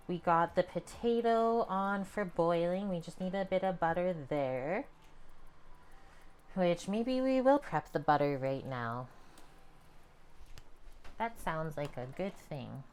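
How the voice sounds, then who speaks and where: Calm low-voiced woman cooking. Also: takes it slowly, pauses often, smooth. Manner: calm, chatty, talks to viewers. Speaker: cooking streamer. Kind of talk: casual cooking demonstration. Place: home kitchen.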